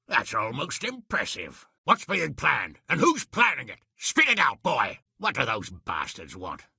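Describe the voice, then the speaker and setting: A man acting as a gruff, hot-tempered, middle-aged medieval lord in a video game, with a raspy, gravelly voice and a blunt, blustery, commanding manner. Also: mid-range voice, wildly swooping pitch, a little slow, sharp tone, no room sound, studio quality, heavily acted. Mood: angry.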